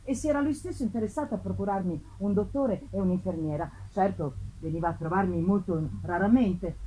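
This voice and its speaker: A woman narrating a gothic novel in a deliberate, theatrical way, with emphatic swells and drops. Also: lowish voice, mellow, poor audio. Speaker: narrator, reader. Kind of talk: dramatic reading of a gothic novel. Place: live festival performance. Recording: live reading with faint ambient noise.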